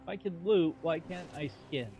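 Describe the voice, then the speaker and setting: A man giving casual let's play commentary, wry and halting as he thinks aloud. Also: a little high, mellow, soft background music. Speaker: gaming video creator.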